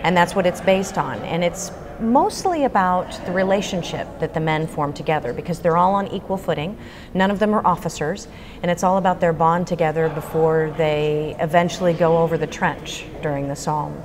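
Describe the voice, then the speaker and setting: A woman's voice: fairly low pitch, moderate pace, noisy background. An American woman in her thirties, earnestly explaining as a guest in an informal interview. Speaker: theater guest being interviewed. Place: large indoor lobby. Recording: crowd murmur in public lobby.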